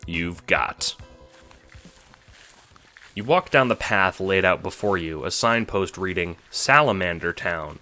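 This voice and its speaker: A man narrating an audio drama in an expressive, scripted storytelling style, addressing the listener as the hero. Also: low voice. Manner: animated, expressive. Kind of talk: scripted second-person storytelling.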